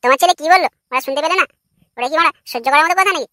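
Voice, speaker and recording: A woman's voice: high voice, rapid-fire, sharp tone, no echo, clean studio sound. A woman voicing a cheeky, foul-mouthed, argumentative village boy in a comic cartoon. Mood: angry squabbling. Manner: brash, argumentative, swearing.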